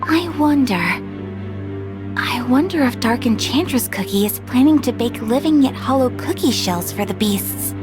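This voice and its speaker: A woman voicing a pensive, cautious cookie adventurer in a game, with a thoughtful, measured manner. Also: fairly high pitch, lively intonation, close to an everyday voice. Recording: music behind the voice.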